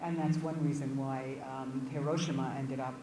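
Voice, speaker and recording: A woman guest speaker thinking aloud in an informal academic discussion, halting and hedging as she repeats words. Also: very deep voice, level tone, dark tone. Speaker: visiting guest speaker answering audience questions. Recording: echoey room, distant mic, clear background noise.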